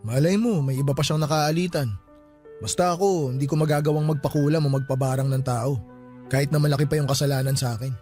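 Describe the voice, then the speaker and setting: A man's voice: on the high side, fairly even intonation, quick pace, mellow, natural voice. A man acting a superstitious, grieving young friend at a wake in a Filipino radio drama, with a Filipino accent and colloquial speech. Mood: suspicious. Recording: music underneath.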